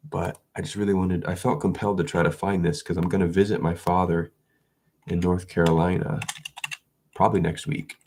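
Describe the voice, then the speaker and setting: A man's voice: low-pitched, little pitch movement, moderate pace, long pauses, slight background noise. A man chatting casually to his viewers, congested and sniffly from an illness, rambling with frequent restarts. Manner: rambling, restarts, soft chuckles. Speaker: video creator. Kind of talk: casual vlog chat.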